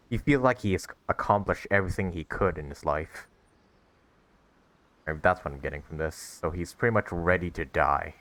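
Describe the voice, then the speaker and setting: Low voiced guy streaming a game, casual reactions, thinking out loud, close dry mic. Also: no room sound. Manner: musing aloud, conversational.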